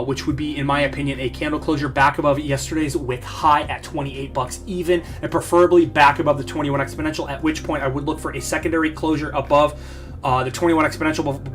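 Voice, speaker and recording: Slightly hoarse guy going over charts, a bit high voice, blunt and emphatic, faint music behind. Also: a bit bright. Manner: blunt, emphatic, repeats key phrases, frequent uh fillers. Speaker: market analysis channel host. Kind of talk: casual chart analysis talk.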